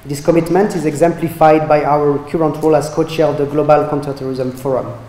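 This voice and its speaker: A man delivering a formal prepared speech at a workshop in a measured, read-out manner. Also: fairly high pitch, steady tone, mellow. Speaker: EU representative giving a speech. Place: conference hall. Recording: echoey conference hall, faint noise.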